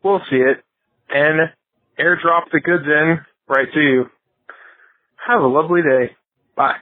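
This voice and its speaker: Deadpan man with band-limited radio sound. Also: a little high, a little slow, dry recording, narrow, tinny audio. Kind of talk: deadpan comic cookie sales announcement.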